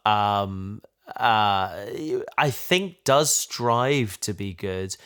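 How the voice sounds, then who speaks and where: A man in a casual discussion as a podcast host, speaking haltingly and often restarting his sentences. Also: on the low side, a bit bright, clean tone. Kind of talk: casual discussion of an audio drama.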